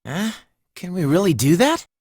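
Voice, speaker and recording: A man's voice: high-pitched, very animated, moderate pace, darkish tone, breathy edge, dry recording, clean studio sound, full character voice. A man playing a friendly, good-natured teenage boy with slangy, informal speech in an anime game dub. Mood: surprised.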